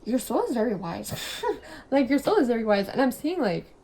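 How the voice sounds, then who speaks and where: A young woman whose first language is French, giving a casual tarot reading in a chatty, giggly, rambling way and sometimes dropping to a soft whisper. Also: a little high, big pitch swings, moderate pace. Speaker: tarot reader.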